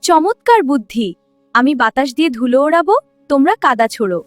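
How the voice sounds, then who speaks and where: A woman's voice: sits high, very animated, a little fast. A woman voicing a proud, brave cauliflower queen in a children's cartoon. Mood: commanding. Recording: music behind the voice.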